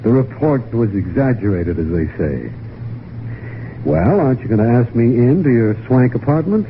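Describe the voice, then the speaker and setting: An actor playing a bitter, suspicious husband in an old-time radio mystery, with clipped, sardonic tough talk. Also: male voice, medium pitch, sing-song, normal speed. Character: bitter, wry returned husband, hard-boiled and suspicious. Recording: tinny, noisy vintage radio recording.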